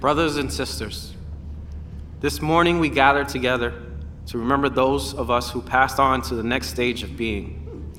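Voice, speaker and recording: A man's voice: medium pitch, steady tone, normal speed, sharp tone, slight background noise, close to an everyday voice. A man playing a solemn chaplain giving an oratorical memorial sermon in a science fiction audio drama. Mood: solemn, consoling. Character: solemn colony chaplain leading a memorial service.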